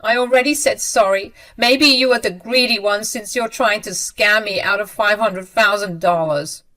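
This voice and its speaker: A woman doing a shrill, begging older mother. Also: lively intonation, measured pace, a bit bright. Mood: pleading. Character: pleading, desperate older mother-in-law woman.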